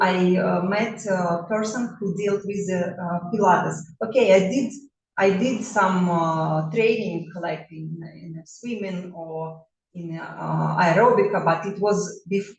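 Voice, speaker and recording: A woman in her forties from Russia, a bodywork therapist introducing herself in halting non-native English with a Russian accent, full of fillers and restarts. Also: medium pitch, a little mellow, slight background noise. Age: 40s. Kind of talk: self-introduction talk. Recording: roomy echo, mic at a distance.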